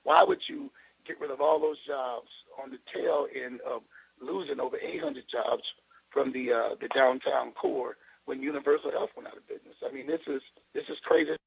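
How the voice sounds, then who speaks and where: An American man, a city councilman, giving an indignant off-the-cuff comment in a rough phone-like soundbite. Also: mid-range voice, lively intonation, moderate pace.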